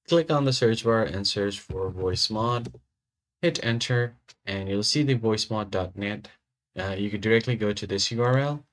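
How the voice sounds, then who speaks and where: A man narrating a step-by-step software tutorial in an informal, instructional way. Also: lowish voice. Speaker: tutorial host.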